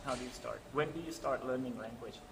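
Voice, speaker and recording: A young Thai-accented man steering a casual classroom-style discussion, speaking English as a second language with frequent pauses and restarts. His pitch is middle for a man. There is clear background noise throughout the recording. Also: roomy sound. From Thailand. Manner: hesitant, searching for words in English. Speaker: discussion leader. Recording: noisy room recording.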